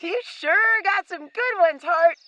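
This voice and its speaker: A woman voicing an excitable, timid young boy adventurer in an audio drama, with a boyish, exclamatory delivery. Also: very high voice, takes it slowly, exaggerated voice. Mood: cheerful. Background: music.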